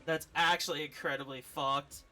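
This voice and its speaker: A man's voice: fairly high pitch, steady tone, moderate pace, bright, forceful tone, soft background music. A man doing game streamer commentary and reading game text aloud.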